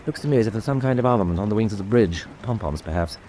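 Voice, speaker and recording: A man's voice: fairly low pitch, animated, rapid-fire, mellow, a little hiss or hum, lightly acted. A man playing a cool, methodical British naval officer in a radio drama, clipped and precise, with a British accent. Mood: cool command.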